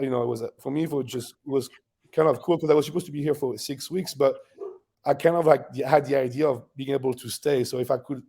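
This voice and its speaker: A man with a French accent recounts his story as an interview guest. His voice is gravelly and mellow, with a steady middle pitch. He talks in an easy, relaxed way and laughs often. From France. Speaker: guest bodybuilder.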